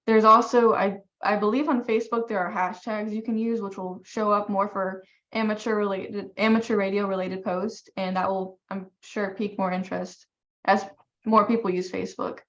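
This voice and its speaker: Young woman chiming in on an online meeting, earnest and polite, mid pitch, muffled lo-fi audio. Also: flat delivery, normal speed, a bit bright. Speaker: volunteer committee member. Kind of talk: informal meeting discussion. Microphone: teleconference call. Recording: dull, lo-fi conference call audio.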